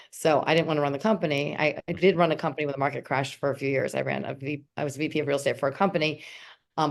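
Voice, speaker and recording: A woman's voice: deep voice, creaky. A woman co-hosting a webinar, answering as an experienced real estate broker. She is chatty and uses many fillers.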